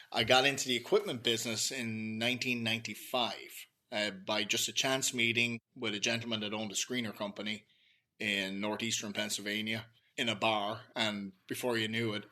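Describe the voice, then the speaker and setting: A man's voice: fairly low pitch, sharp tone. A middle-aged man, a sales director, chatting easily as a guest on a podcast. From Ireland. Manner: easygoing, chatty, detailed explanations. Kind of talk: casual podcast interview.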